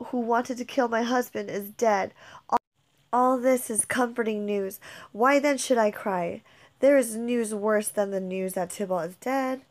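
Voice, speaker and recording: A woman's voice: medium pitch, pitched below the performer's own voice, close to an everyday voice. A woman reading a play aloud and voicing Juliet, a passionate young bride torn by grief, in an emotional, declamatory manner. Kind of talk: dramatic reading of a modernized play.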